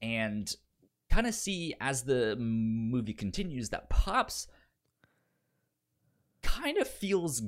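A man chats casually as a film podcast host. His voice sits at a medium pitch, and he stutters, often repeating a sound several times before finishing a word. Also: very animated, slow, pauses often.

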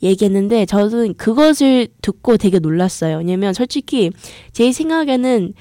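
A woman's voice: mid-range voice, expressive, very fast, dark tone, no room sound, studio quality. A young American woman hosting a podcast, telling a story in a chatty, casual way, full of fillers and restarts. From United States. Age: young adult. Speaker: podcast host. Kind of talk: casual bilingual monologue, Korean then English.

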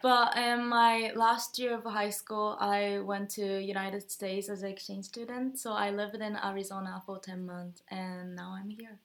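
A woman's voice: medium pitch, level tone, measured pace, few pauses, fairly bright tone. Young Japanese-born woman hosting a podcast. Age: young adult. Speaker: podcast host. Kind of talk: casual student podcast chat.

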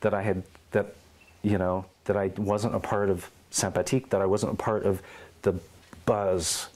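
A middle-aged man in an oral history interview at his home, telling lively stories and acting out quotes. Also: fairly low pitch, normal speed. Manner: animated storyteller, acts out quotes and meows.

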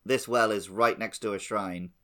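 A man hosts an informal video game chat. His pitch is a little low, with a sharp, bright tone that carries. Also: steady tone, normal speed. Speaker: podcast host.

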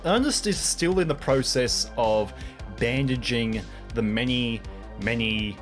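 A man's voice: medium pitch, takes it slowly. A man with a mid-range voice speaks as a player in an improvised audio drama. He describes his character casually and conversationally, over faint background music. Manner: informal, conversational.

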